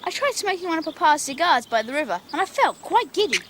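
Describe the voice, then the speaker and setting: Cocky Victorian schoolboy: high, very animated English voice, breezy teasing, radio drama. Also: female voice, quick pace, heavy background noise. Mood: breezy, mocking. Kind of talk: 1990s British radio drama acting.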